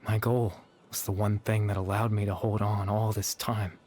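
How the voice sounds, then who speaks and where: A man playing a gentle, sorrowful young man in a video game, speaking in a soft, measured, confessional way. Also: fairly low pitch, flat delivery, slight background noise, lightly acted. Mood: wistful, resigned. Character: gentle, sorrowful young man, a fading remnant consciousness at peace. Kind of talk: scripted video game voice acting. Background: background music.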